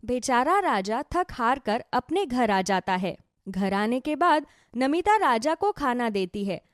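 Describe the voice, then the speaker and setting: A woman's voice: a little high, very animated, measured pace, no echo, clean studio sound. A woman telling a scripted children's moral story as narrator.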